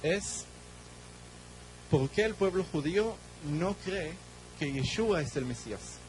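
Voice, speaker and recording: A man's voice: fairly high pitch, expressive, rough recording. Israeli man preaching in short phrases. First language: Hebrew. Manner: speaks in short phrases, pausing for the interpreter. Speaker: guest preacher. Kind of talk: sermon with live interpretation.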